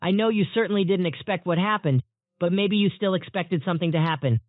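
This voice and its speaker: Thin, phone-like audio carries a high-voiced, polite male interview host, acted by a man. He speaks in a formal, scripted broadcast manner, very dry and close. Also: fairly quick, no echo, close to an everyday voice. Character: polite male TV news interviewer questioning storm chasers. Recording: muffled narrowband phone-like audio.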